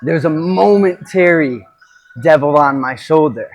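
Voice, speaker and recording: A man with a fairly high, husky voice delivers an unscripted motivational talk. His phrasing is emphatic and halting, with pauses and repeated words, in the style of a coach hosting his own podcast.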